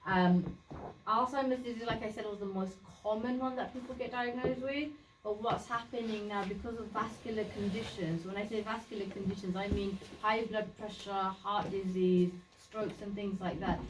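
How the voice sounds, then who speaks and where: A woman's voice: mid-range voice, background noise. Woman presenting a community workshop, sylheti her first language, chatty and plain, lots of ums, far from the mic. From Bangladesh. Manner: chatty, explaining plainly with many fillers and restarts. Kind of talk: informal awareness talk to a group. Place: community meeting room. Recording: echoey room, voice from a distance.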